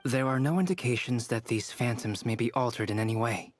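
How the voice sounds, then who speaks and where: A man's voice: mid-range voice, fairly quick, close to an everyday voice. Man playing a cool, precise scholarly observer. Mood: detached. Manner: precise, formal, detached. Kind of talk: video game character acting.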